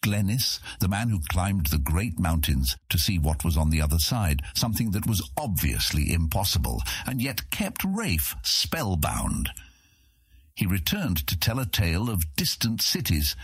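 A man narrating a fantasy audiobook in an expressive, scripted storytelling style. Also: sits low, a little slow, breathy edge, dry recording, clean studio sound.

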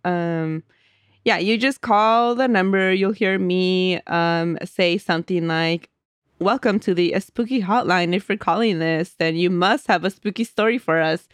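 A woman's voice: lowish voice, a little slow, clean tone, no room sound. Giggly woman podcast co-host, dry mic. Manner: giggly, chatty, reads aloud with asides. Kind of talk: casual chat and reading listener stories.

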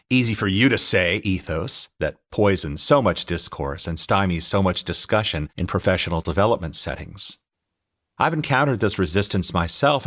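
A middle-aged American man reading his own nonfiction audiobook in a measured, reflective way with deliberate phrasing. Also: deep voice. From California, United States. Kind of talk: scripted audiobook narration.

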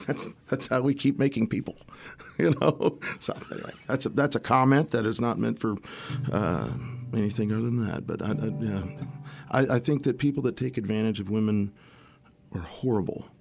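A middle-aged man, a musician guest, talking casually in a rambling way, his voice worn and raspy at the end of a tour. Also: lowish voice, normal speed, a little mellow, faint music behind. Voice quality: gravelly. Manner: rambling, restarts often, swears casually. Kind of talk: casual interview chat.

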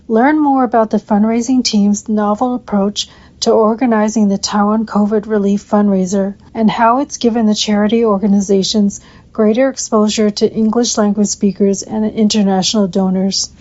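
A woman hosting a podcast, reading a scripted introduction and gently prompting her guests. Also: mid-range voice, fairly even intonation, normal speed, muffled recording. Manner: scripted intro, then soft prompting questions. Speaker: podcast host. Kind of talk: interview hosting.

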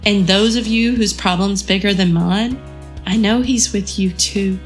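A woman's voice: medium pitch, steady tone, unhurried, faint music behind. A woman hosting a devotional podcast, earnest and encouraging, her voice a bit froggy from a cold. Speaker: podcast host.

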